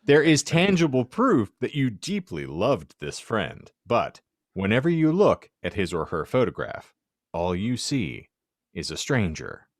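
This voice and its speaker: Male podcast co-host. Lowish pitch, highly animated, dry studio sound, emphatic reader who drops in wry asides. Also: measured pace, no echo. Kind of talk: casual group banter mixed with reading a card aloud.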